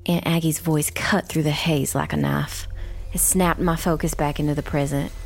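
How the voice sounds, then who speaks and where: A woman playing a young witch who narrates a dark fantasy audiobook in a grave, measured, dramatic way. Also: deep voice, steady tone, gravelly, soft background music. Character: young witch narrator recounting her family's ordeal, grave. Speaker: first-person narrator.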